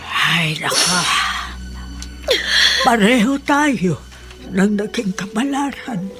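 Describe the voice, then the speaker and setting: A woman with a Filipino accent playing a sorrowful, sympathetic mother exiled from her family in a radio drama, speaking in a measured, plaintive way. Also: mid-range voice, animated, a bit bright, faint music behind.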